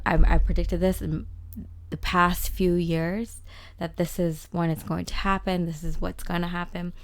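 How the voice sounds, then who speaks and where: Raspy-voiced woman giving a tarot forecast. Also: on the low side, dry recording. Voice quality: rambling with many fillers and restarts. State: slightly raspy voice. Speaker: tarot reader and channeler. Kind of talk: casual spoken forecast and tarot reading.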